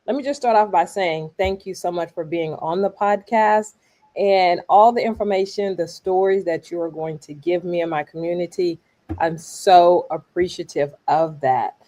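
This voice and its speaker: A woman's voice: lowish voice, normal speed, mellow. A woman hosting a podcast, thanking her guest and asking questions in a gracious way. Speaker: podcast host. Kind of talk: casual interview.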